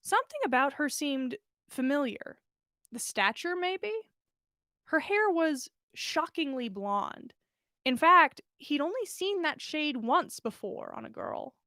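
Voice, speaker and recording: A woman narrating a story aloud in an expressive storytelling style, as a podcast host reading fiction. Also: high voice, takes it slowly, no echo, studio quality.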